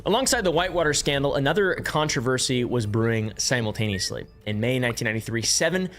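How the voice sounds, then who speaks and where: Young male streamer, mid-pitched voice, sarcastic and casual, swears a lot. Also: lively intonation, fast talker, fairly bright tone. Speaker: streamer and commentator. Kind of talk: casual commentary on a political story.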